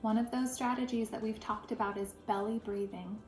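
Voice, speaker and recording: A woman, a first grade teacher, reads and talks to young children in an expressive, deliberate storytelling way. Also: medium pitch, faint music behind. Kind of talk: picture-book read-aloud for children. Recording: roomy echo, clear background noise.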